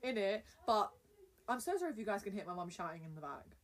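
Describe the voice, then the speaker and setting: A woman's voice: medium pitch, sing-song, fast, distant mic, a crowd in the background. A young woman from England co-hosting a podcast from home, chatting casually and teasing in a playful way. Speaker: podcast co-host. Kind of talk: casual chat.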